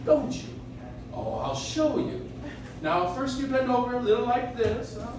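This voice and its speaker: Kindly, whimsical old gent played by a man for a stage radio play; high-pitched, jolly, distant noisy recording. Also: fairly even intonation, a little slow. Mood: playful, encouraging. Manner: jolly, encouraging, old-fashioned exclamations and chuckles. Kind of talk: live stage performance in 1940s radio-play manner. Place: live stage in a coffee house. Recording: noisy, echoey audience recording from far off.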